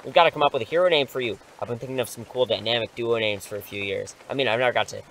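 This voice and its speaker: A man's voice: mid-range voice, rapid-fire, a bit bright, close to an everyday voice, low-quality recording. Casting: male actor for impulsive schoolteacher turned superhero, eager and reassuring, audio drama, rain noise behind. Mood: excited, chatty. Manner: eager, reassuring, rambling, sheepish when challenged.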